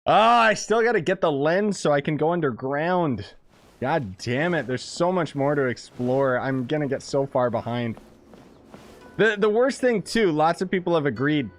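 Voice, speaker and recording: A man doing casual, slangy gameplay commentary, excitable and prone to swearing, with game music behind him. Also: a little high, moderate pace. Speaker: game streamer reacting. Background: video game music.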